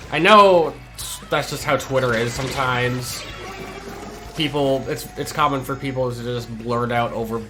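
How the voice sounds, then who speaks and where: Stuffy-nosed man chatting over gameplay. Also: medium pitch. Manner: laid-back, hesitant, lots of ums and restarts. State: stuffy and congested from a cold. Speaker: gaming channel host. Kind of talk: casual gameplay commentary.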